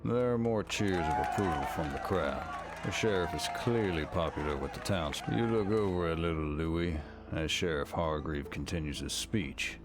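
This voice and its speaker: A man's voice: sits low, moderate pace, loud music behind the voice. A man narrating a scripted second-person story for a visual novel game.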